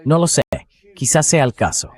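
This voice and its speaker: A man's voice: a little high, moderate pace, darkish tone. A man hosting a political discussion, speaking in an emphatic, sceptical way with pointed rhetorical questions. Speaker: talk show host and political commentator.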